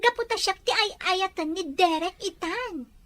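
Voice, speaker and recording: A woman's voice: very high-pitched, measured pace, a bit bright. A woman acting a smug, defiant young woman in love in an Ilocano radio drama. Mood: defensive.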